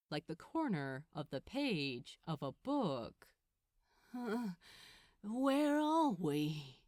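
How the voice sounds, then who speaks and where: A woman narrates fiction in an expressive audiobook style. She reads at an unhurried pace with a very lively pitch range. The sound is dry, with little room in it. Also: dry recording.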